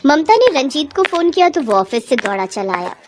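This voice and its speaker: Woman storyteller, raised pitch, lively scripted narration for cartoon stories. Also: fairly quick, noisy background.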